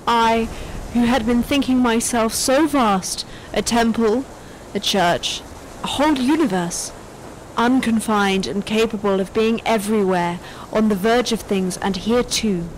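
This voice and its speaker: An actress playing a weary yet defiant elderly woman, delivering a measured, poetic monologue in a literary radio drama. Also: on the high side, slight background noise, close to an everyday voice, low-quality recording. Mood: reflective.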